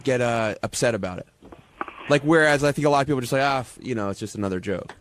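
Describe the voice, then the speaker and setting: A man on a radio panel, chatting casually in a halting, filler-heavy way. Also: medium pitch, slight background noise.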